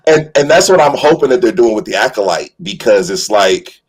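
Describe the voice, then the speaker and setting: A man chatting casually as a co-host, in an animated, slangy way, often repeating and rephrasing himself. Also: on the low side, a little fast, slightly rough, poor audio. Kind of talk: casual fan chat about films and series.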